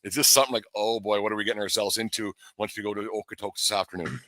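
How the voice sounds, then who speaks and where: A man hosting a live sports interview show, speaking in a halting, rambling way. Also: on the low side, little pitch movement, fairly bright tone, no room sound.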